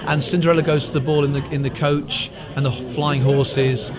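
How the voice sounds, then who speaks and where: A man's voice: a little high, level tone, moderate pace, a crowd in the background. A man who performs comedy, chatting enthusiastically and earnestly in a casual interview.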